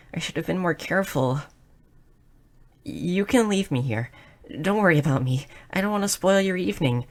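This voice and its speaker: A woman's voice: low voice, close to an everyday voice. A woman playing a shy, clumsy, sweet young goblin girl who stammers earnestly in an intimate romantic audio roleplay. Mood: apologetic, unsure. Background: music.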